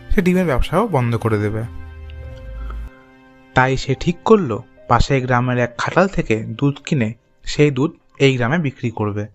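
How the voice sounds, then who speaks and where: Animated male storyteller over soft music. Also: mid-range voice. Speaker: storyteller, narrator. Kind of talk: scripted storybook narration.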